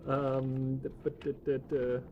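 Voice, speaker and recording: A man narrating a tabletop game casually as game master, improvising his descriptions haltingly. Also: mid-range voice, level tone, highs cut off. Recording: noisy room with background ambience.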